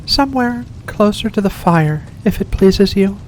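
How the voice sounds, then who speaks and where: A performer voicing a mysterious, seductive vampire in a slow, deliberate ASMR roleplay, courteous and teasing. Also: mid-range voice, lively intonation, a little mellow, close to an everyday voice, low-quality recording. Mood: courteous, luring. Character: seductive mysterious vampire host luring in a guest. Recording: faint background noise.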